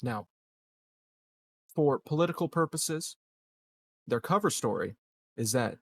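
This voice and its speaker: Man playing a measured, evasive colonial leader. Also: medium pitch, lively intonation, no room sound. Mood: measured, guarded. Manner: formal, measured, halting diplomatic phrasing. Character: calculating, evasive male colonial leader, smooth politician. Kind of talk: improvised tabletop roleplay dialogue.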